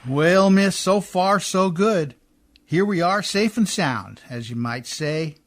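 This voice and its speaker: Guy doing a folksy old-radio boat mechanic, high voice that swings all over, rough grammar, polite but doubtful. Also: expressive, slow pace, exaggerated voice. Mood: brisk, reassuring. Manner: folksy ungrammatical speech, often adding "miss". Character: folksy, rough-spoken male river-boat mechanic, deferential yet skeptical. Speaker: actor in a community radio theatre. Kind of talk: radio drama acting in an old Hollywood radio style.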